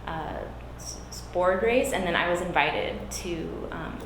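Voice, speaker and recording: A woman running for a school board seat, whose first language is Spanish, answering questions earnestly with frequent pauses and fillers. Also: lowish voice. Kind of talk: candidate interview. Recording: echoey room, background noise.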